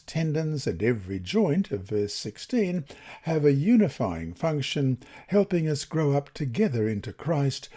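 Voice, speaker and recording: A man reads a scripted religious lesson in a deliberate, expressive manner with measured phrasing. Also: mid-range voice, slightly rough. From Australia. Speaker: reader of Bible study lessons.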